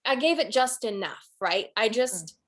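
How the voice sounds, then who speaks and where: A woman speaking casually as an interviewed audiobook narrator, telling anecdotes in an emphatic, halting, expressive way. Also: on the high side.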